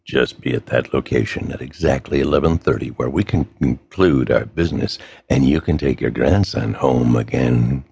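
A man voicing a cold, menacing male villain with a gravelly voice who threatens slowly. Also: extremely low pitch, a little mellow, voice pushed down, heavily acted.

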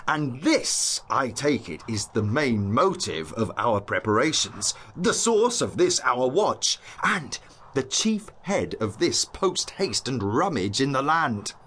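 A man's voice: mid-range voice, very animated, slow, a bit bright, a little hiss or hum. An actor playing a learned, earnest young nobleman on night watch, declaiming verse in a dramatised Shakespearean audiobook. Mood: grave, expository. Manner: eloquent, declamatory verse delivery.

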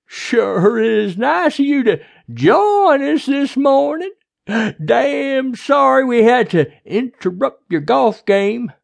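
A narrator voicing a bossy, sarcastic, folksy small-town sheriff in an audiobook, with a drawn-out barking delivery. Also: mid-range voice, animated, gravelly, pitched above the performer's own voice.

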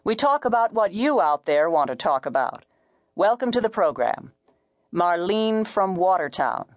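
A woman reader plays an easygoing male radio host in an audiobook. The delivery is smooth, assured and unhurried, in a lowish register. The sound is dry and close. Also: no echo. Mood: easy, assured. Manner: relaxed, assured.

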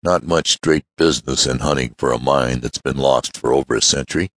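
A man voicing an aged male inventor who speaks in a measured, sensible way. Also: very deep voice, a bit bright, no room sound, clean studio sound. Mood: dry.

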